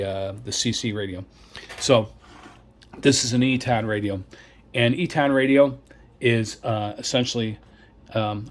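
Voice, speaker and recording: Rambling man demonstrating radios, hesitant delivery. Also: on the low side. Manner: hesitant, rambling, repeats himself. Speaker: radio reviewer presenting gear. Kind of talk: casual product demonstration.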